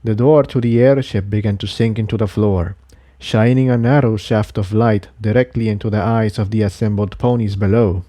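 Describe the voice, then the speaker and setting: Mellow man narrating a story. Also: fairly low pitch, fairly even intonation, normal speed, no echo. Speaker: fan fiction reader.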